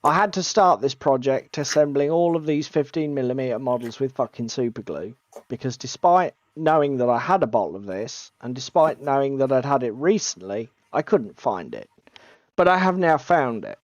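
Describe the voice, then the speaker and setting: A man with a strained, gravelly voice, co-hosting a casual hobby chat and poking fun at himself. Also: medium pitch, expressive, moderate pace, no echo, lo-fi sound. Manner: chatty, self-deprecating, swears casually. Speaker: co-host of a tabletop hobby stream.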